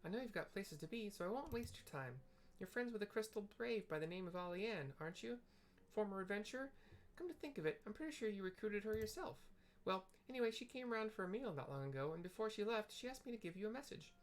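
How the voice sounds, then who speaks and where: A player voicing a brisk, motherly guild mistress, reading her game lines aloud in a folksy, chatty way. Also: medium pitch, expressive, pitched above the performer's own voice, close to an everyday voice.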